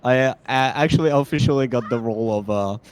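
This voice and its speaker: A man's voice: medium pitch, a little fast, clean tone. A man doing casual game commentary with dry remarks and frequent laughter.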